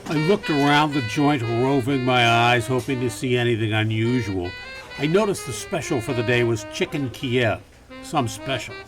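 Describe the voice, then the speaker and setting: A man performs a world-weary private eye from a campy noir radio play. He speaks at a middling pitch in measured, deadpan phrases. Music plays behind his voice. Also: natural voice. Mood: wry, deadpan. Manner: deadpan, measured hard-boiled storytelling. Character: hard-boiled male private detective narrating his case, polite, world-weary.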